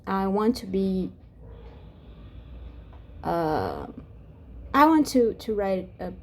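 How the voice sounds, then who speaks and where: A woman from Brazil, a native Portuguese speaker, talking in a soft-spoken, rambling vlog, halting with many restarts and fillers. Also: medium pitch, big pitch swings, a little hiss or hum. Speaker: ASMR vlogger.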